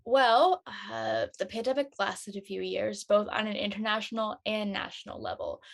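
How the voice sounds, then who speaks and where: A woman's voice: medium pitch, steady tone, measured pace, creaky. A woman acting a casual, conversational character in a scripted drama table read. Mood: reflective.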